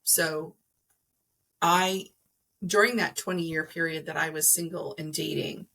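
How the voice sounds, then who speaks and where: A woman's voice: sits low, steady tone, measured pace, creaky, bright, forceful tone. A middle-aged woman explaining things conversationally as an interview guest, earnest and emphatic, acting out imagined remarks. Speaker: podcast guest, energy healer.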